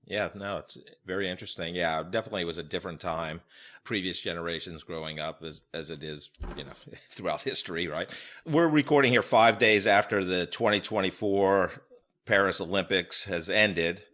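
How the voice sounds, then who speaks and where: An American man hosting a podcast, asking questions in a casual, halting, somewhat rambling conversational way. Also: low voice, a bit bright. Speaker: podcast host, interviewer.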